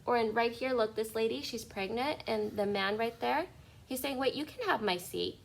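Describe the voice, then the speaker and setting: A woman kindergarten teacher reading a picture book aloud to young children, expressive and instructive, speaking directly to her listeners on an online class call. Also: a little high, normal speed, vocal fry, low-quality recording.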